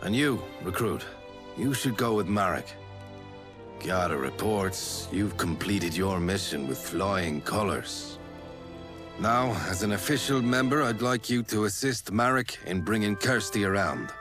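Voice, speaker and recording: A man playing a stern, measured military captain in a fantasy game, formal and authoritative. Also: fairly low pitch, lively intonation. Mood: grave, commanding. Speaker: video game character. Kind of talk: English game cutscene voice acting. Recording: music behind the voice.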